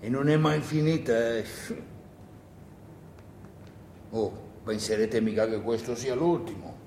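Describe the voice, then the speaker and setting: A man's voice: mid-range voice, moderate pace, dark tone, close to an everyday voice. A male stage actor takes on a hardened village miller, proud and grim. He speaks in blunt, emphatic declarations. The room is strongly echoey, with faint noise underneath. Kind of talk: solo theatre monologue.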